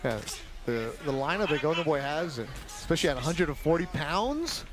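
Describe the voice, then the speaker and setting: A man calling a boxing match play-by-play in a brisk, promotional broadcast manner. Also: on the high side, lively intonation. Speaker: play-by-play commentator.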